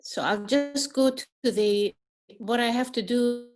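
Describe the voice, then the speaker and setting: Middle-aged woman from Iran, slow unhurried delivery, online talk over a video call, thoughtful, many fillers and restarts. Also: a little high. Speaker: guest speaker, neuroscientist.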